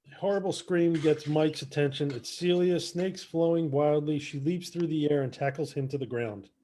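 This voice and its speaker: A man's voice: a little high, moderate pace, mellow, slight background noise. A man narrating stage directions in a casual scripted read-through.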